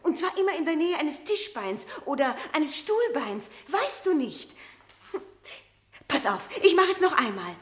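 High-voiced, pleading, flustered mother. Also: very animated, measured pace, echoey room. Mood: desperate pleading. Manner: agitated, imploring, repetitive. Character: flustered, desperately pleading mother claiming her lost son. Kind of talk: German radio play acting.

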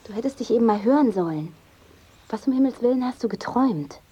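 An actress in a German radio drama playing a caring but firm, no-nonsense friend, speaking directly and insistently in a naturalistic style. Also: female voice, fairly high pitch, expressive, quick pace, dark tone, slightly rough, lo-fi sound. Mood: concerned, urgent.

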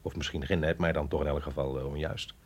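Sceptische agent met schorre stem. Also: male voice, rumbling low, steady tone, quick pace, slightly rough. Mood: hesitant, pondering. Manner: hesitant, questioning, wry. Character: sceptical, wry male agent, an outsider out of his depth. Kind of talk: radio drama acting.

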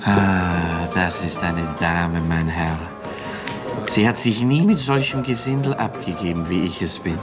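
An actor playing a self-pitying, shabby failed medical student in a radio drama, rambling between bitterness and boasting. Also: male voice, low voice, a little slow, over music. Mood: rueful, bitter. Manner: rambling, rueful. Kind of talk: German radio drama acting.

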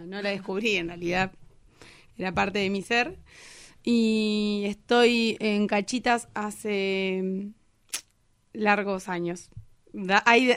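A woman's voice: medium pitch, a bit bright, clear, resonant tone, dry recording, studio quality. A woman from Argentina with an Argentine accent, chatting informally and jokingly as an interview guest. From Chubut, Argentina. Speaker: guest, cumbia band singer. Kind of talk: casual interview chat.

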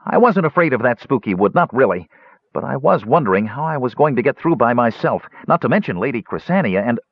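A man voicing an excitable, chatty small male kender in an audiobook, talking in eager rushes. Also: mid-range voice, breathy edge, no room sound, pitched above the performer's own voice. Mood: eager, chattering. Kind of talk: audiobook character voice. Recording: dull, dry lo-fi recording.

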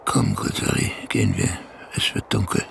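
An actor playing a weary old herder in a radio play, tender and sorrowful, with a gravelly voice. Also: male voice, rumbling low, wildly swooping pitch, normal speed, whispered, full character voice. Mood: worried, tender. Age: older. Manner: talks gently to his exhausted horse. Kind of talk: German radio play acting.

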